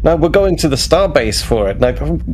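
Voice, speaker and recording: A man's voice: a little high, very animated, normal speed, slight background noise, pitched above the performer's own voice. A man playing an informal, insistent young starship officer keen to go out, in a tabletop roleplay. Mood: exasperated. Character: young male starship officer, urgent, laddish party-goer.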